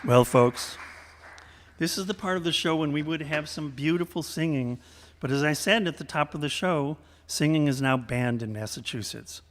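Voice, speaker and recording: A man hosting a theatrical radio variety show in an animated, showmanlike way. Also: on the high side, normal speed. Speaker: host. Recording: echoey hall, long reverb.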